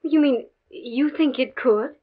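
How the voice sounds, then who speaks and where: High-voiced, coaxing 1950s fiancee. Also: female voice, takes it slowly. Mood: coaxing, skeptical. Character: practical, coaxing fiancee of a stuffy academic. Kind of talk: 1950s radio drama acting. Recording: muffled, faintly noisy 1950s radio recording.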